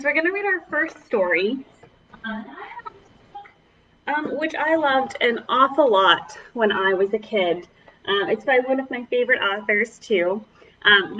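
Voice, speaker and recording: A woman's voice: medium pitch, sharp tone, far from the mic, lo-fi sound, slight background noise. A woman children's librarian leading a preschool storytime, reading aloud and chatting encouragingly to the children as her friends.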